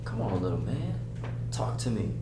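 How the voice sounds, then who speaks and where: Man reading as a sad earnest guy, hesitant, quiet drama delivery, echoey noisy room. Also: on the low side, big pitch swings, moderate pace. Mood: gentle, coaxing. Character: grieving man, earnest, hesitant, reaching out to a boy. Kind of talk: screenplay table read. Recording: echoey room with clear hum noise.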